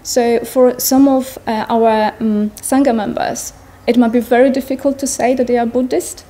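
A woman in her thirties with a Polish accent, giving an earnest personal talk to an audience in a large tent, pausing to search for words. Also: fairly high pitch, steady tone, dark tone. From Poland. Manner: earnest, searching for words, non-native phrasing. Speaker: speaker at a Buddhist gathering. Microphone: stand mics in front of her.

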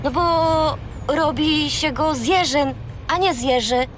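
A woman's voice: high-pitched, takes it slowly, noisy background. An actress playing a bossy, exasperated young girl who scolds and corrects in a children's radio play. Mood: exasperated, scolding.

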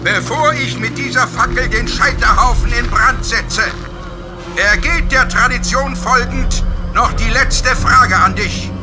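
A man's voice: extremely high pitch, unhurried. A man playing a ruthless, self-righteous witch hunter in a horror radio play, declaiming pompously. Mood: solemn, stern. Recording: loud dramatic music behind the voice.

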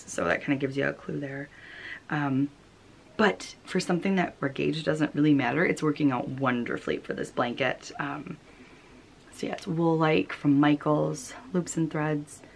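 A woman's voice: sits low, vocal fry, slight background noise. An American woman in her thirties chatting casually and informally as a vlog host, recorded in a small room at home. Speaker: knitting podcast host.

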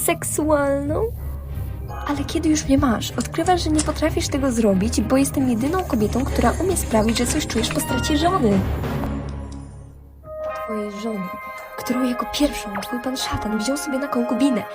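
Melodramatic cartoon fangirl, acted by a woman, high young voice, swooning and overwrought, over background music. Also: normal speed, natural voice. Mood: melodramatic, smitten. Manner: theatrical, breathlessly infatuated, overwrought. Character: melodramatic, lovestruck young female anime superfan. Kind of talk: animated comedy fan dub. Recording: music behind the voice.

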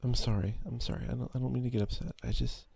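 A man playing a quiet, obsessive, possessive schoolboy in an ASMR roleplay, halting and breathy. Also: medium pitch, fairly even intonation, no echo. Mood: apologetic, pleading. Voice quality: husky, breathy. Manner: halting, stammering, brooding. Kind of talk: ASMR roleplay, intimate acted monologue.

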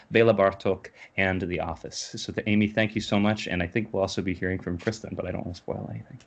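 A man hosting a poetry reading over a video call in a casual, enthusiastic style. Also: on the low side. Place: indoor room on a video call.

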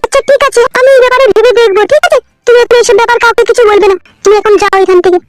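Squeaky, extremely high-pitched sly village wife. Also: female voice, loud music behind the voice. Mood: scheming. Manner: shrill, wheedling, quick to snap back. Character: sly, scolding young village wife with a secret lover. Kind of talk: comedy cartoon dialogue.

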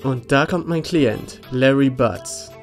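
A man's voice: medium pitch, takes it slowly, close to an everyday voice. Man playing an earnest young defence lawyer, music behind. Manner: sincere, plain-spoken. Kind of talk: animated courtroom fan dub acting. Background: background music.

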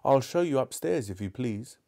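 A man's voice: medium pitch, lively intonation, normal speed, creaky, warm tone, pitched above the performer's own voice. A man doing a polite young housemaid. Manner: deferential, polite.

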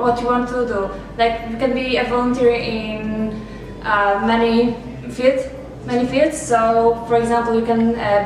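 A young woman from Poland, answering interview questions quickly with fillers, recorded indoors on a distant camera mic. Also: fairly high pitch, darkish tone. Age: young adult. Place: small indoor room. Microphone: far camera mic. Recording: echoey room, distant mic, faint music.

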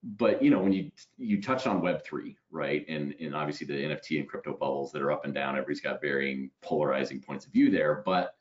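A man's voice: a bass voice, fast talker, few pauses. A man in his forties hosting a video call interview on a headset, chatting and ending many points with "right?". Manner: conversational, tags lines with "right?". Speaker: webinar host. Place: home room. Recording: slightly dull video call audio.

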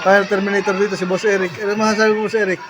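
A high-pitched Filipino man hosts a casual vlog from a busy worksite. He banters and teases as he prompts coworkers to speak. Plenty of voices are heard behind him. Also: level tone, racing. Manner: teasing, asking coworkers for comments. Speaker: vlogger host, worker on an installation crew. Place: project worksite. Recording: busy worksite with loud background chatter.

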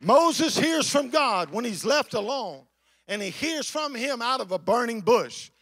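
A man preaching an impassioned sermon to a congregation in an emphatic, declamatory way, repeating phrases for effect, with a gravelly voice. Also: very high-pitched, big pitch swings, a little slow, a bit bright, no room sound.

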